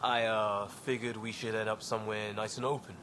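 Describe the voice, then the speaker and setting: A man's voice: lowish voice, level tone, brisk, noisy background, close to an everyday voice. An actor playing an earnest young crewman in a video game, talking informally and candidly. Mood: earnest, apologetic. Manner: informal, candid, a little sheepish. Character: earnest young male crisis-response crewman.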